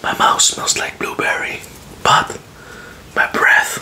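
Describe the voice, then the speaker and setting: Male ASMR host, thirties. Breathy whisper throughout, very slow short phrases, close on the mic. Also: sharp tone. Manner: slow, halting, short phrases with mouth sounds.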